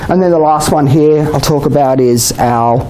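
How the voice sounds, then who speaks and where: A man with an Australian accent giving a relaxed exam-prep lecture to a class, often checking in with "okay?" and "you know?". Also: a little high, moderate pace, a little hiss or hum, rough recording. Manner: chatty, many "okay?" and "you know?" tags, laughs often. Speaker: IELTS teacher.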